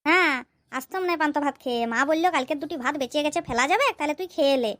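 A woman's voice: sits high, very fast, a bit bright. A woman voicing a whiny hapless bridegroom. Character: hapless young village bridegroom.

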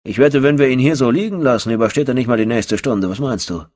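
A man's voice: mid-range voice, fast, a little mellow, pitched above the performer's own voice, lightly acted. A man voicing a crude, cocky male henchman in a horror audiobook, mocking and coarse. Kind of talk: audiobook horror story dialogue.

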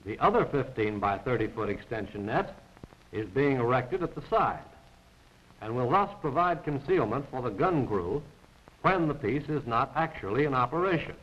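A male narrator delivers scripted military instruction in a formal, precise way. His medium-pitched voice moves with lively intonation. The sound is dull and limited, like a 1940s film soundtrack. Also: normal speed. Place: voice-over recorded apart from the pictures.

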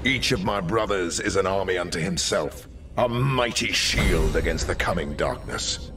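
A man's voice: deep voice, normal speed, over music, lightly acted. A man playing a resolute armoured knight commander in a game, speaking in a grave, stately, formal way. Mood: solemn, resolute. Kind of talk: cinematic game hero acting.

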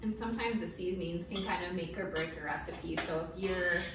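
A woman in her thirties with a slightly low voice co-hosts a kitchen demo, friendly and explanatory. She sounds distant from the mic. Heavy room echo and background noise surround her. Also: a little fast. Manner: friendly, explanatory, asks questions. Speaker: co-host. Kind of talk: casual cooking show chat. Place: home kitchen, indoors. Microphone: far camera mic.

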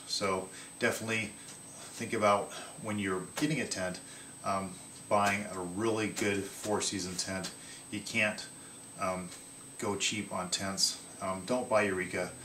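A man in his thirties casually showing his outdoor gear from a table in his living room, pausing often with ums and restarting his sentences. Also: fairly low pitch, bright, forceful tone, reverberant, recorded from a distance, slight background noise. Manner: halting, many ums and restarts.

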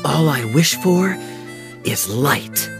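A young desert bandit for a video game fairy tale. The voice sits at a middle pitch, measured and earnestly determined. Music is clearly audible behind it. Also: animated. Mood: gentle, earnest. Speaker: game character in storybook play. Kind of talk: scripted game dialogue.

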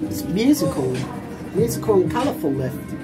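A woman's voice: on the low side, very animated, moderate pace. A woman giving casual running commentary on floors and specs while filming inside a mall lift on a handheld camera. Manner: plain narrating of floors and specs. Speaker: vlogger filming lifts. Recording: loud music under the voice. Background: loud music.

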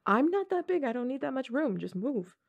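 Casting: a woman to play a college-age tomboy gamer girl for intimate ASMR roleplay; teasing, slangy, casual, with noticeable vocal fry. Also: sits high, sing-song, fairly quick, highs cut off, heavily acted. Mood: playful, relaxed. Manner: casual, slangy, teasing, frequent chuckles and hesitations.

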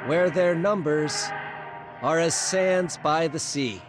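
An actor playing a solemn narrator reciting apocalyptic prophecy in a dramatic scripted style for a video game. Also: male voice, a little high, slow pace. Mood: solemn, ominous. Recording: loud music under the voice.

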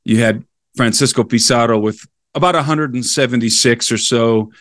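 Male podcast guest, medium pitch, conversational and digressive explainer of history, recorded dry. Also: moderate pace, fairly bright tone, no echo. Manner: explanatory, digressive, enthusiastic about the subject. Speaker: podcast guest, explorer and researcher.

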